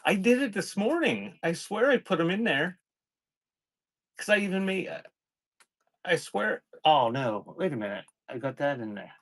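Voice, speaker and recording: A man hosting a casual live sales stream, rambling and thinking out loud in a scattered, conversational way. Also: fairly high pitch, very animated, moderate pace, no echo. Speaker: live-stream seller hosting a sale and unboxing.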